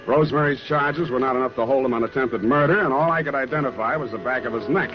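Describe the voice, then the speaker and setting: An actor playing a wry, easygoing hard-boiled American private detective in 1940s radio drama, narrating and talking in a deadpan way. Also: male voice, medium pitch, quick pace, close to an everyday voice. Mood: wry narration. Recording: muffled 1940s radio recording with music.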